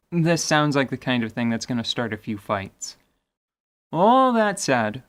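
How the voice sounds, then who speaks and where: A man's voice: mid-range voice, clear, resonant tone. A man hosting a podcast, giving semi-scripted tabletop gaming commentary in an articulate, wordy way with wry, playful asides. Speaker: podcast host.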